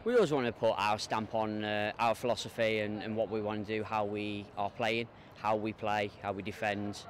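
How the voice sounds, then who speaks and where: A middle-aged man, a football coach, giving measured, hesitant answers in a post-match interview outdoors. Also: on the low side, little pitch movement. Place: open football stadium pitchside, outdoors. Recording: outdoor noise behind.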